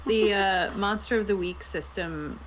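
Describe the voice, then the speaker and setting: A woman's voice: medium pitch, a little slow, slight background noise, low-quality recording. A woman co-hosting a casual group discussion about tabletop roleplaying games. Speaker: show co-host. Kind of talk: casual chat.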